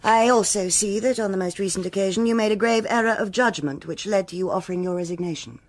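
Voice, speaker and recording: An actress playing a cool, exacting police superintendent in a radio drama, with a formal, cutting, authoritative manner. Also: female voice, mid-range voice, fast, natural voice. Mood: probing, disapproving.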